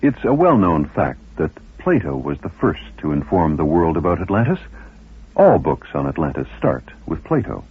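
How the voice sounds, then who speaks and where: Deep husky guy hosting a radio documentary, dramatic, phone-like old broadcast sound. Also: sing-song, normal speed. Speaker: radio host. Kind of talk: scripted documentary narration.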